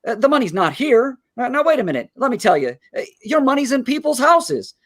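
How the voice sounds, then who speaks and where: A man playing an earnest, kind-hearted small-town loan manager in a live radio play, stammering and halting as he pleads. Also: very high-pitched, big pitch swings, fairly bright tone, exaggerated voice. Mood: anxious, pleading.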